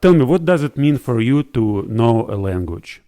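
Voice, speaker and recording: A man's voice: medium pitch, sing-song, normal speed, mellow. A man hosting a podcast interview, asking short questions and answering with frequent mm-hmms. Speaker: podcast host. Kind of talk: casual interview.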